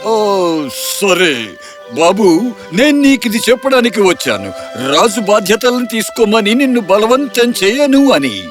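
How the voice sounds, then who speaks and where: A man voicing an exasperated aging king and father in an animated fairy tale, chiding and hesitant in his delivery. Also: high-pitched, a little slow, soft background music. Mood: patronizing.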